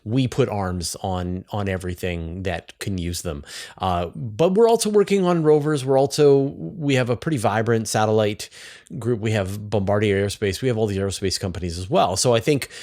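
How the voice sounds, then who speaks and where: Lower-voiced Canadian man hosting an interview. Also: lively intonation, moderate pace, a little mellow. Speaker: interviewer and host. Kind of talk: conversational interview.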